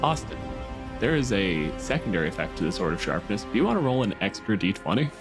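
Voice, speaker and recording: Low-voiced male game master. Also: very animated, fast. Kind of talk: narrating and refereeing a tabletop game. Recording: music playing under the voice.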